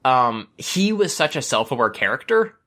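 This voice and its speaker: Bright-voiced man chatting casually. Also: medium pitch, very animated, moderate pace. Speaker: podcast host. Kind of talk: casual group chat about films.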